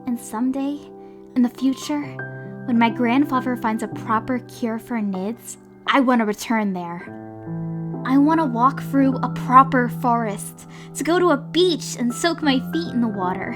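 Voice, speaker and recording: A woman plays a dreamy, hopeful young girl with a high voice. She is gentle, earnest and a little wistful, in cartoon comic-dub style. Music is clearly heard underneath. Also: unhurried, natural voice. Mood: hopeful longing. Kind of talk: scripted comic dub voice acting.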